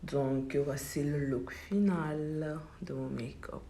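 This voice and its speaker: A young woman talking straight to her phone in a casual beauty vlog. Her voice is low and mellow, and she leans hard on her words and repeats them for emphasis. The room around her has a noticeable echo. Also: slow, slight background noise. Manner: emphatic, repetitive, animated storytelling. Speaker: beauty vlogger. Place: room at home while doing makeup. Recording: echoey room.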